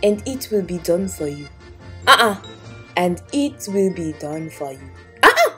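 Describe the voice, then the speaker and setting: A woman's voice: lowish voice, big pitch swings, measured pace, bright, forceful tone, faint music behind. A young woman teaching a kids' Bible lesson in a playful way, trying out silly accents. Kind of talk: playful scripted kids lesson.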